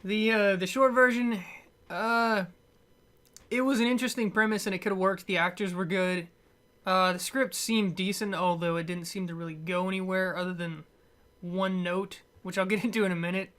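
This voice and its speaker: A man's voice: high-pitched, fairly even intonation, a bit bright. A man delivers an unscripted, conversational movie rant. He rambles and stumbles as he looks for the right word, dropping wry, dismissive asides along the way. His voice sits at an ordinary, unforced level. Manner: rambling, halting, stumbling over words. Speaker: amateur movie reviewer.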